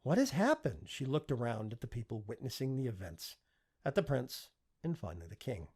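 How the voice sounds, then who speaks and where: Husky, animated male audiobook narrator. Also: mid-range voice, brisk. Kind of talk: dramatic scripted fiction narration.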